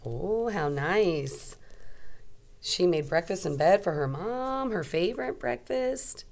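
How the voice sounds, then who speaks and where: A woman's voice: on the low side, animated, slow, darkish tone. A woman teacher leading a read-aloud lesson for young children, explaining simply and asking them questions.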